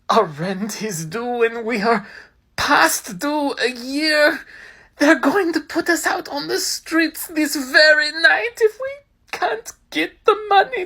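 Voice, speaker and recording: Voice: medium pitch, fairly bright tone, pitched above the performer's own voice. A voice actor playing a greedy, scheming poor old man who fawns over a rich visitor, wailing melodramatically. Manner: fawning, melodramatic, swings from sneer to wail. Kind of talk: audio drama reading.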